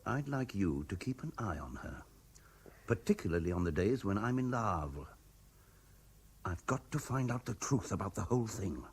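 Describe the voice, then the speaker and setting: An actor playing an anxious, well-to-do husband in a radio drama, speaking politely and insistently with a British accent. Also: male voice, fairly low pitch, big pitch swings, normal speed, slightly rough, full character voice. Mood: courteous, businesslike. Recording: 1970s radio drama broadcast.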